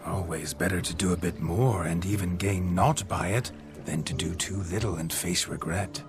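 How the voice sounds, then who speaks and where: Man playing a deep-voiced roguish flirt. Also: steady tone, normal speed, over music, natural voice. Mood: boastful, flirtatious. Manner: smug, teasing, florid old-fashioned phrasing. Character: roguish, flirtatious gentleman reveller, cocky and grandiose. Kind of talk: video game cutscene acting.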